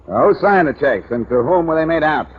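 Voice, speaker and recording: Actor as glib radio sleuth, lively swooping delivery. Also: male voice, fairly high pitch, a little fast, close to an everyday voice. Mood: breezy pretence. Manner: glib, bantering, theatrical. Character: wisecracking, confident amateur sleuth, smooth-talking man. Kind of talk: 1940s radio detective drama acting. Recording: dull, noisy vintage 1940s radio recording.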